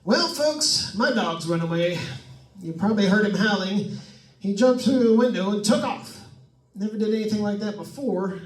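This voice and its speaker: A high-voiced actor playing a nervous man stuck in a haunted house, rambling and joking to listeners as in an old radio thriller. The sound is echoey and set well back from the mic. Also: expressive, moderate pace. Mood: uneasy, chatty. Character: jittery wisecracking man alone in a haunted house. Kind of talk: old-time radio suspense drama acting. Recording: echoey room, distant mic.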